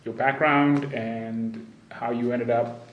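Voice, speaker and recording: A man's voice: medium pitch, steady tone, slow, highs cut off. Man hosting in an echoey room. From Kenya. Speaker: podcast host. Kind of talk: casual podcast interview. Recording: echoey room with faint background noise.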